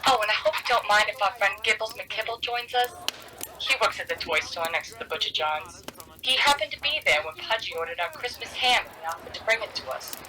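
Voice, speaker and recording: A woman's voice: mid-range voice, lively intonation, brisk, background noise, lightly acted. A woman in an audio play acting a cheerful, chatty, neighborly friend from next door. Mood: cheery.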